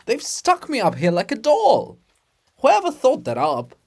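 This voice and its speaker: A man voicing a boastful monument of an old ruler, complaining loudly in a high voice in a dramatic farce. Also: wildly swooping pitch, a little slow, vocal fry, full character voice. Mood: loud grumbling.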